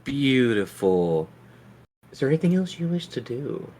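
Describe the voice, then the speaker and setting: A man's voice: mid-range voice, very animated, measured pace, dark tone. A man acting as game master, narrating and running a tabletop roleplaying game.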